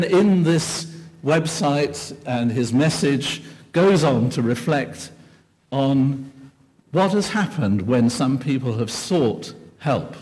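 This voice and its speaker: An older man from England giving an earnest, measured keynote lecture at a podium microphone in a large hall. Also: medium pitch, a little mellow. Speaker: keynote speaker. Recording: long hall reverb.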